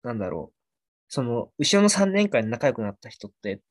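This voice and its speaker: A man in his twenties with a mid-pitched voice talks casually as a podcast host. He uses relaxed polite speech, like a junior with an older friend. The sound is dry and close, with very little room. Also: normal speed, no room sound. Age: 20s. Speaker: podcast host looking back on student days. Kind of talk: casual chat between friends.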